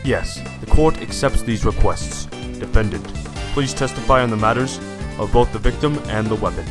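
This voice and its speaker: A man's voice: fairly low pitch, lively intonation, normal speed, over music, close to an everyday voice. A man playing a stern, authoritative judge in an audio drama, speaking formally and with command. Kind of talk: audio drama courtroom acting.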